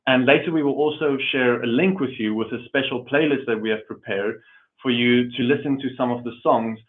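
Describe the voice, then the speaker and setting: A man from South Africa, a program coordinator, giving a formal, measured welcome in an online webinar. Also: mid-range voice. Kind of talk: webinar presentation. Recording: tinny phone-like online audio.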